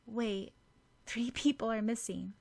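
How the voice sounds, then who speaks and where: A woman's voice: on the high side, slow, gravelly, background noise, pitched above the performer's own voice. A woman voicing an earnest, caring teenage heroine in an anime-based audiobook story. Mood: concerned.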